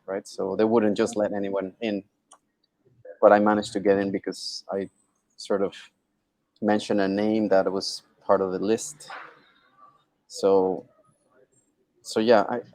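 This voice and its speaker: A man's voice: fairly low pitch, creaky, darkish tone, no echo. A man being interviewed on a video call, talking casually and often checking in with little tag questions. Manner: informal, often checking with "right?" and "you know?". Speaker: interview guest, tech entrepreneur.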